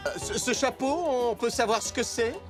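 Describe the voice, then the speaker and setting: A woman voicing a hesitant, good-natured father who tries to calm his children, in a cartoon. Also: fairly high pitch, normal speed, lightly acted. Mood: bemused. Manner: hesitant, stammering, peacemaking. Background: background music.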